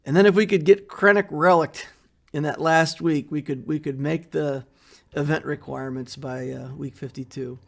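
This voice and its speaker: A middle-aged man talks through his mobile game progress in an easygoing, rambling way, often pausing on fillers. His voice sits a bit high and has a gravelly edge. He is recorded at his desk in a small room. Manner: relaxed, steady walkthrough with frequent fillers and trailing off. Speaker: mobile game channel host. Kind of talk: casual gameplay commentary.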